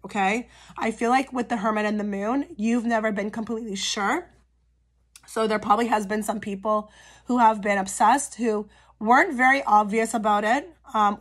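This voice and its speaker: Female tarot reader, higher register, a touch hoarse and cracky; casual, talks straight to camera with frequent check-ins. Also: a bit bright. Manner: chatty, frequent "okay?" check-ins with viewers. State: slightly hoarse from a cold. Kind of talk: pick-a-card tarot reading to camera.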